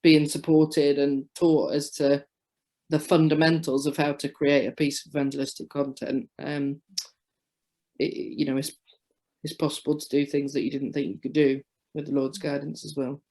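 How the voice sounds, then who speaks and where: A woman sharing her story as a guest on a video call, halting with many restarts. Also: low voice, little pitch movement, dark tone. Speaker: webinar guest.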